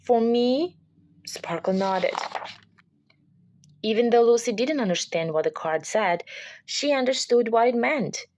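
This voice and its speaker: A woman's voice: on the high side, expressive, measured pace, pauses often, darkish tone, dull audio, slight background noise. A woman reading a children's picture book aloud as a parent would, in scripted storybook narration.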